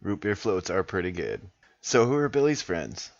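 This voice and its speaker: A man with a low voice plays a tabletop roleplaying game, improvising his lines casually in character. The sound is slightly dull and lo-fi. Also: big pitch swings, moderate pace, gravelly, dry recording.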